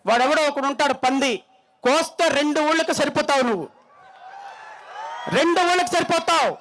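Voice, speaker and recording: A man's voice: very high voice, a little fast, background noise. A man with an Andhra Telugu accent delivering a fiery political speech from a stage, combative and threatening, hammering home phrases by repeating them. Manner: combative, threatening, emphatic repetition. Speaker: political speaker at a rally.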